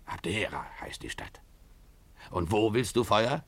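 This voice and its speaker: A man playing a drunken, boastful, greedy pirate sea captain in a radio play, theatrical and mocking, in a gravelly voice. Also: lowish voice, lively intonation, unhurried, no room sound. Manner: theatrical, mocking, swinging from mutters to bellows. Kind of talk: 1950s German radio play acting.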